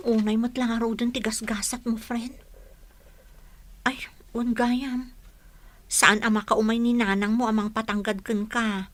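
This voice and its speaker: A woman's voice: fairly high pitch, level tone, unhurried, long pauses, fairly bright tone, dry recording. A woman playing a sympathetic, nosy friend in a radio drama, with a frank, inquisitive, commiserating manner. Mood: sympathetic, probing. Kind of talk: Ilocano radio drama acting.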